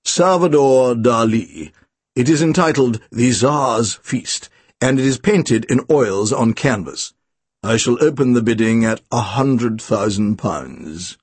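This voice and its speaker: A man voicing a posh male auctioneer with a plummy upper-class British accent, announcing bids in a slow, formal, drawn-out way. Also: medium pitch, expressive, husky, no room sound, pitched below the performer's own voice. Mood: formal, unhurried.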